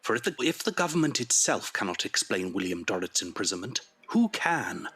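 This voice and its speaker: A man's voice: on the low side, sing-song, brisk, bright, forceful tone, breathy edge. A man reading dramatic scripted narration as the narrator of an audio drama.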